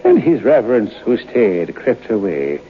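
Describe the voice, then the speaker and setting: An actor playing a knowing folk-tale storyteller, narrating an old-time radio drama in an unhurried way. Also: male voice, lowish voice, very animated. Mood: wry. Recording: muffled, hissy old radio broadcast.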